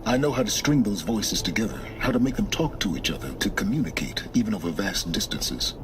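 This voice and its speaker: An actor playing an aloof, impatient, secretive middle-aged wanderer and scholar in a video game, speaking in a measured, condescending, curt way. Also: male voice, on the low side, expressive, noisy background, close to an everyday voice. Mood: guarded, explanatory. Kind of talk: video game cutscene dialogue.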